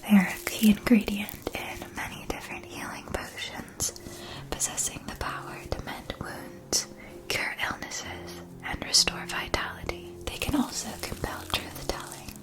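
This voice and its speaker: A woman whispering softly and breathily in a scripted ASMR roleplay as a gentle magic shopkeeper, enunciating each phrase with care. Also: mid-range voice, fairly even intonation, poor audio. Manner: slow, deliberate, careful enunciation.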